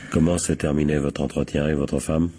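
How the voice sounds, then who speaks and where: A man's voice: extremely low pitch, flat delivery, brisk, dark tone, a little hiss or hum. A man playing a dogged, wry American police captain in a French radio crime drama, authoritative and probing. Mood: probing, firm.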